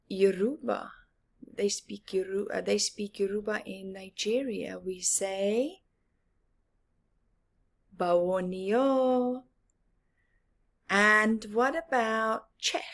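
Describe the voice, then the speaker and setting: A woman reading a picture book aloud to children with expressive, drawn-out emphasis and asides about the story. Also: medium pitch, gravelly. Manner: animated, drawn-out emphasis with whispered words.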